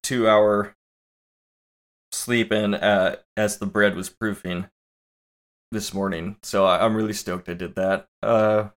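Sleepy, rambling podcast co-host, low-voiced man. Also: slow pace, long pauses. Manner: relaxed, rambling, playful. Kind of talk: casual movie podcast chat.

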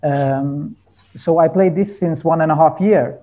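A man's voice: a little high, a little slow, warm tone, dull audio. A man chatting as an interview guest, searching for words in English. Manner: hesitant, searching for words in non-native English. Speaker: interview guest, folk musician. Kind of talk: casual conversational interview.

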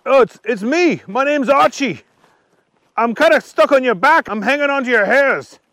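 A man's voice: very high voice, animated, moderate pace, a bit bright, no echo, pitched above the performer's own voice, full character voice. A man voicing an eager, kindly young adventurer, earnest and colloquial, in a children's story. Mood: eager, curious.

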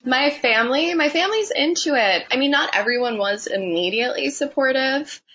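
A young woman who works as a budtender, answering interview questions in a relaxed, chatty way with lots of fillers. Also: high-pitched, fairly quick, slightly rough. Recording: dull lo-fi sound.

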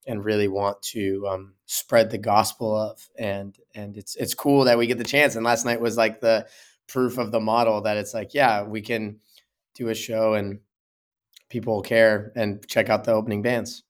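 A man's voice: on the low side, flat delivery, moderate pace. A man, a musician guest, talking casually in an interview, often repeating words as he gathers his thoughts.

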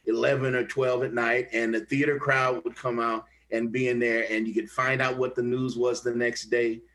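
An older man from the Kansas City area, an actor telling stories in a relaxed interview with many restarts. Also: medium pitch, moderate pace, rough recording. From Kansas City area, USA. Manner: rambling, many restarts and repeated words. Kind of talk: conversational interview, storytelling.

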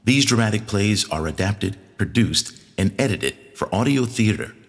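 A man's voice: on the low side, lively intonation, moderate pace, husky, long reverb tail. A man reading scripted closing credits as the announcer of an audio theater production.

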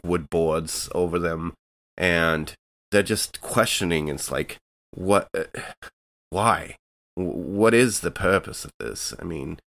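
A man with a very low voice slowly builds a scene for his character, trailing off with fillers as he muses. He sounds thoughtful and unhurried. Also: fairly bright tone. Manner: hesitant and reflective, many fillers. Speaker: player. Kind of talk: describing a scene in a tabletop game.

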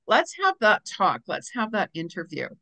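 A woman's voice: mid-range voice, huge pitch swings, normal speed, creaky, sharp tone. A woman hosting an interview podcast, introducing her show and guest in an enthusiastic, promotional way. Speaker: podcast host.

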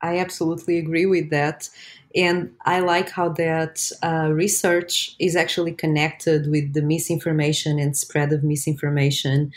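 A woman with a low-pitched voice speaks English as a second language. She explains research terms in clear, measured sentences. Her tone is calm and conversational. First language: not English. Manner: explains terms plainly and precisely. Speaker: senior science writer. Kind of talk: casual podcast chat.

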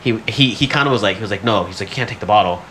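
Guy on a podcast tellin stories real fast, bright forceful voice, cusses a lot, bit of background noise. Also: lowish voice. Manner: slangy, profane, quotes people in retellings. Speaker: podcast co-host. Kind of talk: casual storytelling banter.

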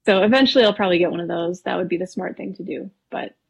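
A woman from Wisconsin in her thirties, a painter talking casually as a podcast guest, relaxed and chatty with frequent ums. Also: on the low side, quick pace, creaky, breathy edge, highs cut off. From Wisconsin, USA. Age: 30s. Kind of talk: casual interview conversation.

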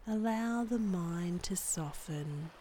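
A woman guiding a meditation in a soft, breathy, soothing voice with measured delivery and long pauses. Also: on the low side, a little hiss or hum. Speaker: meditation guide and podcast host. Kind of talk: guided body scan meditation, scripted narration.

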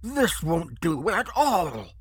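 Husky, patronizing henchman, swooping wildly. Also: mid-range voice, very animated, dry recording, clean studio sound, voice pushed up, exaggerated voice. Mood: scornful. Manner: patronizing, drawn-out, emphatic. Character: patronizing, pompous chinless man, eccentric artist's henchman.

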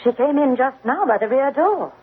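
An actress playing a gentle, caring, dutiful young woman in a vintage radio drama. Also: sits high, animated, moderate pace, breathy edge, heavily acted. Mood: concerned. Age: young adult. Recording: tinny old radio recording.

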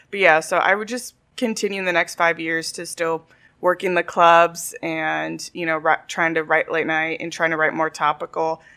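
Soft-spoken young comedian woman. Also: on the low side. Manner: soft-spoken, reflective, often laughing. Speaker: stand-up comedian guest. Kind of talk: casual interview chat.

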